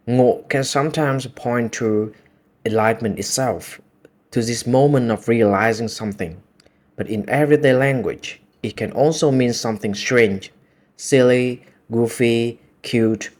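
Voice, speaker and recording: A man with a Vietnamese accent whose native language is Vietnamese, talking in a calm, measured, reflective monologue. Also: lowish voice. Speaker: video essay host.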